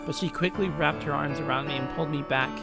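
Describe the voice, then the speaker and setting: A man's voice: fairly high pitch, quick pace, gravelly, over loud music. A man hosting a let's play, reading a visual novel aloud in a dramatic way and swearing casually.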